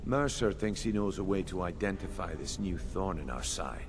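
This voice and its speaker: A low-voiced, conspiratorial thief. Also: male voice, animated, normal speed. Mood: grave, urgent. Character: thieves guild man, conspiratorial fixer, worried and urgent. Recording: faint background noise, game ambience.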